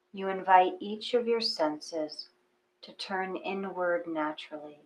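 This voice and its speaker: A woman with a fairly low voice leads a guided meditation. She speaks very slowly and gently, leaving long pauses between her phrases. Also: poor audio. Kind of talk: slow guided meditation reading.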